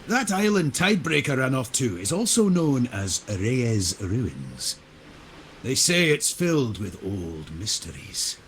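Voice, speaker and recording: An actor playing a jovial, theatrical pirate ship captain in a video game, with a playful, roguish manner. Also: male voice, medium pitch, very animated, slow pace, fairly bright tone, a little hiss or hum. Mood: breezy, confident. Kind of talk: video game character acting.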